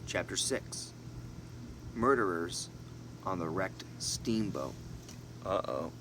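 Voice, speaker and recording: Low-voiced man reading a story aloud. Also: expressive, takes it slowly. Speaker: amateur audiobook reader. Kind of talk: storybook narration with casual asides. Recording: noisy, distant mic, vehicle-like background hum.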